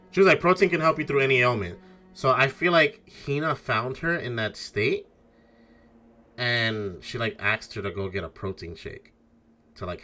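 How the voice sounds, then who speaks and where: A man's voice: lowish voice, pushed and bright. A man talking casually and informally as a game streamer, swearing and thinking aloud through his theories. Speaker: streamer playing a mystery game.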